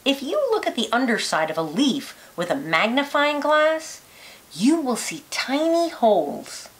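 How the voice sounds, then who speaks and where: A woman reading a children's educational picture book aloud like a teacher, phrasing it carefully and deliberately for young listeners. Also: sits high, big pitch swings.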